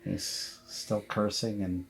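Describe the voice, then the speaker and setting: A man's voice: lowish voice, little pitch movement, takes it slowly, vocal fry, breathy edge, poor audio. A man narrating a tabletop horror game as game master, describing scenes and asking players for rolls.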